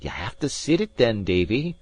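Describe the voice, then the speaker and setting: Give me a man playing a fiery Scottish Highlander, gruff and blustering. His voice is pushed up well above a low natural register and swings widely. It is loud and carries a strained, altered tone. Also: big pitch swings, moderate pace, no echo. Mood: earnest. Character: fierce proud Scottish Highland gentleman.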